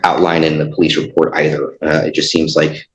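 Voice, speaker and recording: A man speaking as a defense attorney in court, arguing haltingly and hesitantly. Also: very deep voice, completely flat delivery. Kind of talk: argument in court. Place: echoey indoor courtroom. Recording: echoey room, muffled sound.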